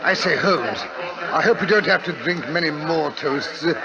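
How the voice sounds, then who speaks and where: An actor playing a fussy, grumbling gentleman sidekick in a 1940s radio drama, exclamatory and blustering. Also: fairly high pitch, normal speed, close to an everyday voice. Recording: dull old radio recording, crowd murmur.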